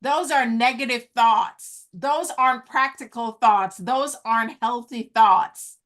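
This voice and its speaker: A woman's voice: a little high, takes it slowly, fairly bright tone. A woman business coach teaching a group over a video call, earnest and encouraging, calling her listeners guys. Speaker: business coach hosting an online event. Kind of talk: slow, deliberate teaching talk.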